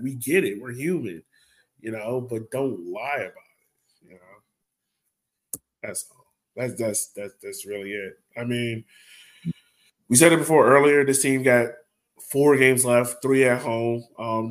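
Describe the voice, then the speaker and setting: A man with a mid-range voice hosts a casual fan sports podcast. He speaks in a blunt, conversational style and offers his opinions freely. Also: medium pitch.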